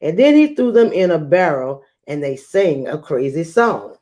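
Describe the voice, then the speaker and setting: A woman hosting a children's read-aloud as a teacher, speaking in a measured, expressive storytelling manner. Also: low voice.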